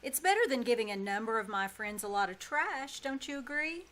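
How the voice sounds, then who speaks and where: A woman's voice: a little high, lively intonation, fairly quick, fairly bright tone. A woman playing a wry, long-suffering schoolteacher in a live radio comedy, with dry, sardonic delivery. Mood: dismayed, sardonic. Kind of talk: live old-time radio comedy acting. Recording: live stage performance with background noise.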